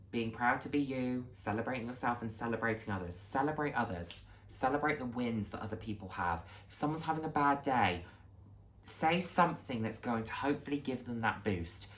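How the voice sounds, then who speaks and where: A man's voice: mid-range voice, a bit bright. A man in his late twenties chatting casually and earnestly to viewers as a vlog host, reflective and encouraging. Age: late 20s. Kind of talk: casual heartfelt talk to viewers.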